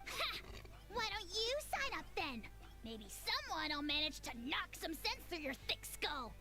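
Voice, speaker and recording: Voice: very high-pitched, sing-song, unhurried, bright, forceful tone, heavily acted. An actor voicing a tiny, boastful male dragon spirit who talks in a bossy, blustering way in a game cutscene. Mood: scornful. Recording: game music under dialogue.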